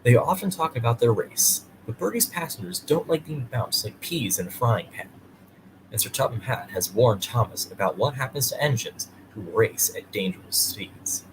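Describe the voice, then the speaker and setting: A man's voice: mid-range voice, animated, normal speed, background noise. A man hosting and reading a children's bedtime story aloud. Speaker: storyteller and host.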